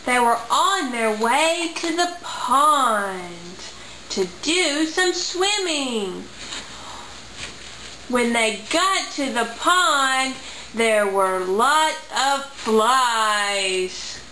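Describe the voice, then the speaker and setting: A woman's voice: on the high side, animated, drawn-out words, bright, forceful tone. A woman narrating a simple puppet-show story for children at home. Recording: echoey room, faint background noise.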